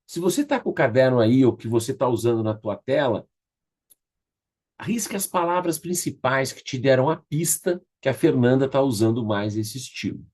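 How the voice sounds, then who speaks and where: A man's voice: medium pitch, lively intonation, moderate pace, darkish tone. A Brazilian man facilitating an online class, giving informal, directive instructions and checking in with participants.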